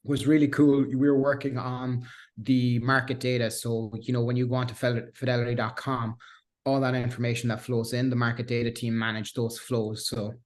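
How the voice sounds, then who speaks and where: A man's voice: medium pitch, little pitch movement, a little fast, a little mellow. A man in his thirties with a Cork Irish accent, a guest in a casual interview who rambles with restarts and fillers. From Ireland. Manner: rambling, many restarts and fillers, self-deprecating laughs. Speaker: guest, software engineer.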